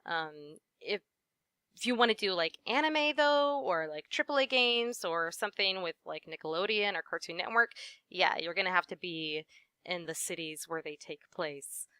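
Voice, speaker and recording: A woman's voice: fairly low pitch, expressive, normal speed, bright, forceful tone, smooth, no room sound. A young adult woman, a voice actress, chatting casually in an interview, with lots of fillers and easy laughs.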